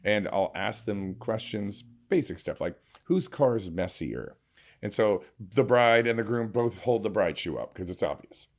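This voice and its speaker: A man guest on a radio talk show, an entertainer and MC, telling lively stories and slipping into voices. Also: fairly low pitch, normal speed, hardly any pauses. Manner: animated storyteller, breaks into voices. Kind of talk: casual radio interview.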